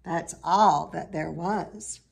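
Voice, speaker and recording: A woman's voice: lowish voice, slow, creaky, slight background noise. A woman reading a rhyming children's story aloud with dramatic, emphatic expression. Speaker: storybook reader.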